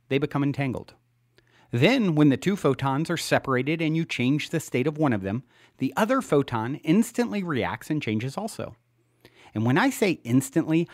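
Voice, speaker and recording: A man with a mid-pitched voice narrates a nonfiction audiobook in a measured, emphatic way. His intonation is lively and wide-ranging, with deliberate dramatic pauses. The recording is dry, with very little room sound. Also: dry recording. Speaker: podcast host and author reading his own book.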